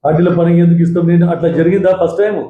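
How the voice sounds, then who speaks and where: A man with a Telangana Telugu accent fielding calls as a legislator, brisk and repeating himself as he answers. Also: fairly high pitch, flat delivery. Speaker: elected legislator taking phone-in calls. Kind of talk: question-and-answer with callers. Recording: phone-like sound, roomy echo, noise.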